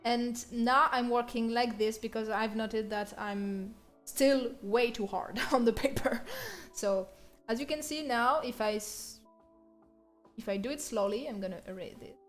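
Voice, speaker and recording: A woman's voice: a little high, a little slow. A woman with a French accent giving a casual, chatty livestream drawing tutorial with playful, self-mocking asides. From France. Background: music.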